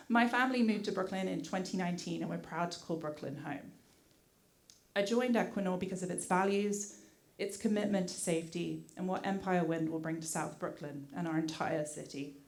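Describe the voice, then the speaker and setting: Woman, British-tinged accent, lowish voice, community affairs director at a podium mic, polite and scripted. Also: normal speed. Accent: British with some American mix. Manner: polite, thankful, with frequent "uh" fillers. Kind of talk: prepared public remarks at a community meeting. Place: community meeting hall.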